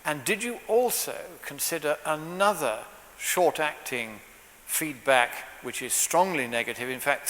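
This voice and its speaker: A man with a bright voice puts a carefully worded technical question to the speaker. He speaks formally and precisely, and the lecture hall lends a long, ringing reverb. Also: medium pitch, a little hiss or hum. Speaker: audience member asking a question.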